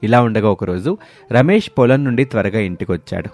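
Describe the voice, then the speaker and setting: A man's voice: on the low side, expressive, a little fast, a little mellow. A man narrating a scripted moral story in a storytelling manner.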